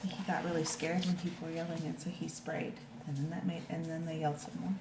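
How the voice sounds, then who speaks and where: A woman's voice: low-pitched, flat delivery, fairly quick. A woman reading a picture book to children and explaining it informally in simple, child-directed words. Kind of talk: read-aloud with informal explanations. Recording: echoey room with clear background noise.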